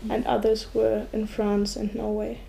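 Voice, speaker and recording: A woman's voice: a little high, level tone, unhurried, vocal fry, warm tone, low-quality recording. A sixteen-year-old young woman with a German accent, giving casual answers as an interview guest. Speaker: exchange student guest.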